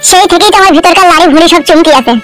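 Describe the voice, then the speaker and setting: A man's voice: very high voice, fairly even intonation, very fast, fairly bright tone, soft background music. A man voicing a timid, naive, protesting married simpleton who frightens easily, in a comedy cartoon. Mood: fearful protest. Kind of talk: amateur cartoon comedy dubbing.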